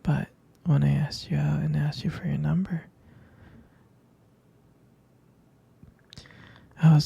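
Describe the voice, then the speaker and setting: A man playing a doting, gently teasing boyfriend in an ASMR roleplay, murmuring tenderly and hesitantly in a breathy, gravelly whisper. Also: fairly high pitch, heavily acted. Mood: fond, nostalgic. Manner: slow, tender, hesitant murmuring with pet names.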